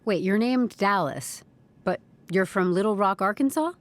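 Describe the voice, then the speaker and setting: An actress playing a tactful but persistent HR investigator, asking careful questions in a scripted audio drama. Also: female voice, mid-range voice, lively intonation, moderate pace, close to an everyday voice. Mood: curious, insistent. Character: probing, tactful female HR investigator, quietly persistent.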